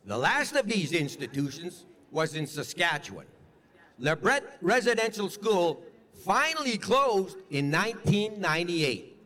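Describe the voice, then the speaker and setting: High-pitched, expressive man giving a solemn speech. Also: measured pace. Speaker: event co-host. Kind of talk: solemn scripted public speech.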